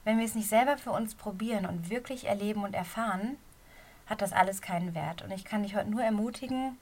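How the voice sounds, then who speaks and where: A middle-aged woman with a slight cold and a somewhat hoarse voice, a running coach giving a casual, earnest podcast talk from a quiet corner of her bedroom. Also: medium pitch, fairly quick. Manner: earnest, encouraging, talks straight to the listener.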